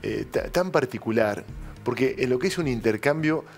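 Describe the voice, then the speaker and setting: A man's voice: medium pitch, lively intonation, normal speed, faint music behind. A middle-aged man with an Argentine accent, hosting a political talk show in a TV studio and telling stories emphatically. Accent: Argentine Spanish. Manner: emphatic storytelling, addresses co-host by name.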